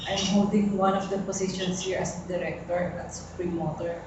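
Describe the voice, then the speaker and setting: Flat-voiced Filipino woman in her fifties. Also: fairly low pitch, normal speed. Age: 50s. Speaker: company director, focus group participant. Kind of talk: casual group discussion. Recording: echoey room, distant mic, lo-fi, background noise.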